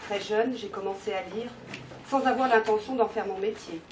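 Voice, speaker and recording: A woman reading a text aloud at a bookshop event, calm. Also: medium pitch, normal speed, a little hiss or hum. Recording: echoey shop from far off.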